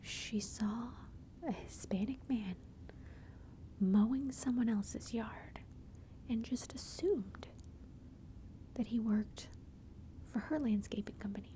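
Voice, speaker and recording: A woman telling a personal story in a soft, chatty whisper, ASMR style. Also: fairly high pitch, takes it slowly, long pauses, a little hiss or hum, rough recording. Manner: soft unvoiced whisper throughout; chatty, conversational asides.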